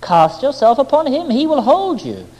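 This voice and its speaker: A man's voice: extremely high pitch, very animated, normal speed, dark tone, pitched above the performer's own voice, exaggerated voice. A man voicing serene, coaxing Lady Self-Control. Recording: long hall-like reverb.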